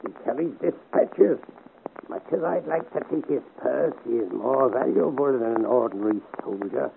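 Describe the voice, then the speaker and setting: Gravelly scheming villain innkeeper. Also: male voice, mid-range voice, moderate pace. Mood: calculating, smug. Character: scheming murderous innkeeper, cool and scornful villain. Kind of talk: 1950 radio serial acting. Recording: noisy narrow-band 1950s radio recording.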